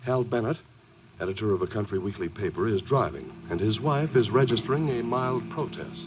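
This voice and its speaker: An actor playing an earnest, deliberate announcer and narrator of an old-time radio mystery. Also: male voice, fairly low pitch, lively intonation, noisy background, natural voice. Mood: dramatic. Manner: deliberate, dramatic. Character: earnest, fussy male announcer of a mystery show. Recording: muffled, band-limited old radio recording. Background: music.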